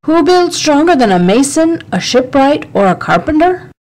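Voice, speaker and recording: A woman's voice: high-pitched, big pitch swings, normal speed, a little mellow, low-quality recording. A woman playing a simple, questioning rustic gravedigger's sidekick in a Shakespeare audiobook. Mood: puzzled, game. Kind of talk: dramatic audiobook reading of Shakespeare.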